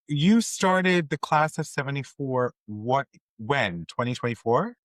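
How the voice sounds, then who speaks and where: A man's voice: mid-range voice, animated, a little slow, a bit bright, no room sound. A man hosting a conversational interview, speaking in an earnest, encouraging way to his guest. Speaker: podcast host.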